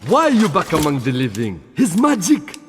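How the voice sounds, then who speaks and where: An actor voicing a boastful, indignant genie in a video game, speaking theatrical broken English. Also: male voice, on the high side, wildly swooping pitch, normal speed, in a large hall, soft background music. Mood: scornful. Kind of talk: animated game character dialogue.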